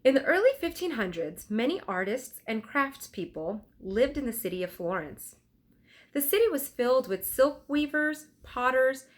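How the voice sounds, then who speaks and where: A bookish young boy explorer from a children's storybook, read by a woman. He sounds earnest and explanatory, stressing words with a very varied pitch. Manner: emphatic, explanatory.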